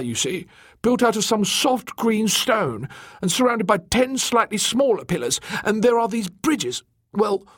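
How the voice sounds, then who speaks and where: High, squawky, fussy little fat man. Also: fairly bright tone, breathy edge, no echo, studio quality, exaggerated voice. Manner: squawking, flustered, eager. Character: small fat fussy excitable male mapmaker, stubbornly optimistic. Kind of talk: audiobook character dialogue.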